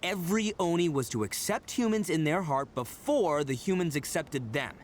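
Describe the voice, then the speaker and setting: A man's voice: on the high side, expressive, normal speed, a little hiss or hum, natural voice. A man voicing a boisterous, proud young male Oni gang leader in a game cutscene, given to hearty laughs. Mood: storytelling. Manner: boastful, hearty laughs, earnest when serious.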